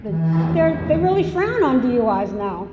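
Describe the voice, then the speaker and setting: Brief: older woman, Massachusetts accent, slightly high voice, frank storyteller, roomy hall with faint hiss. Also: sing-song, moderate pace, crowd noise. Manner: candid, rambling, self-deprecating humor. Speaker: speaker at a recovery meeting. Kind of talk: personal testimony talk. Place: meeting hall. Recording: echoey hall, faint noise.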